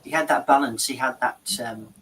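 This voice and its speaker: A man's voice: medium pitch, fairly quick, fairly bright tone, low-quality recording. A man hosting a show, chatting in a rambling, repetitive way about a market visit. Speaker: show host. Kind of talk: casual studio chat.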